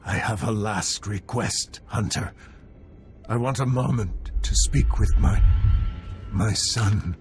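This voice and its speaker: A man's voice: lowish voice, takes it slowly. A man voicing a proud, bitter older traitor in a video game, sardonic and theatrical. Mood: weary. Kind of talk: dramatic video game villain dialogue. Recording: faint game music behind the voice.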